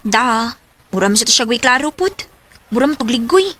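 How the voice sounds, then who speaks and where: Woman as a teenage schoolgirl, high voice, Cebuano accent, giddy, shy, radio drama acting. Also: animated, a little fast, close to an everyday voice. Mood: flustered, coy.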